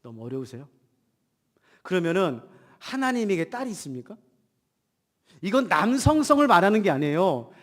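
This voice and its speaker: A male pastor preaches in a big reverberant church. His voice sits high for a man and swings widely from emphatic declarations down to hushed asides. He has a cold and speaks in rhetorical questions to his congregation. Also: sing-song, moderate pace, long pauses. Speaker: pastor preaching a Sunday sermon. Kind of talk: Protestant church sermon. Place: church hall during a service. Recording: long hall reverb in church.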